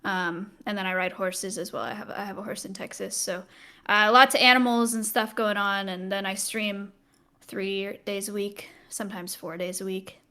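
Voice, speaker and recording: A woman's voice: medium pitch, fairly even intonation, moderate pace, pushed and bright. A woman in her early twenties talking casually as a co-host on a podcast. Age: 20s. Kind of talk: casual chat.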